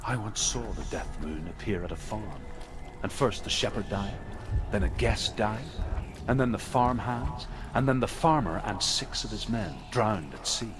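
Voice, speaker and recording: An actor playing a weathered, foreboding older storyteller in a game, with solemn and deliberate oratory. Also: male voice, lowish voice, lively intonation, over music. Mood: ominous. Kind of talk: dramatic folklore storytelling in a game. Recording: clear ambient noise behind voice.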